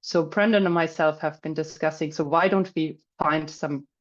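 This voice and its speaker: Middle-aged German-accented woman, low voice, chatty webinar speaker, muffled call audio. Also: steady tone, normal speed. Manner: chatty, many fillers and restarts. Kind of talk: casual presentation. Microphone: video call.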